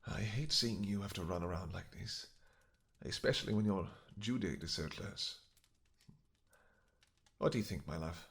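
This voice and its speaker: A man playing a weary, gentle vampire king who speaks softly and haltingly to his beloved in a romantic fantasy audio roleplay. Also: low-pitched. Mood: tired, tender. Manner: soft, halting, gentle, intimate. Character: weary, tender vampire king, doting on his pregnant wife.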